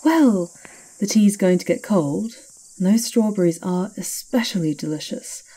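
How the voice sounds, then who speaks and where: A woman's voice: mid-range voice, measured pace, dark tone, slightly rough, rough recording. A woman playing a sly, knowing castle witch in an ASMR roleplay, with a teasing, confiding and theatrical manner.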